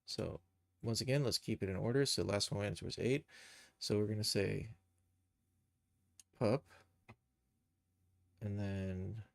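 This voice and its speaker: A man giving an informal live software tutorial, thinking aloud and muttering steps to himself as he works. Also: fairly low pitch, measured pace, vocal fry, pauses often, no room sound. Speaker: live streamer, game and cartoon developer.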